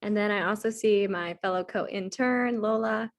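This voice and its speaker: A woman moderating a video call, introducing speakers with brisk, upbeat hand-offs. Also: medium pitch.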